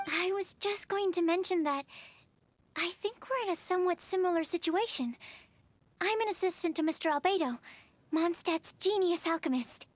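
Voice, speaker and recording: A woman's voice: very high voice, moderate pace, no echo. A woman voicing a timid, courteous young female alchemist's assistant in an anime game. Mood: polite, timid.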